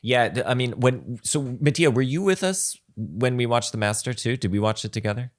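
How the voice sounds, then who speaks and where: A man's voice: mid-range voice, normal speed. A man hosting a podcast in a casual chat, rambling and chatty, full of fillers. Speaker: podcast host.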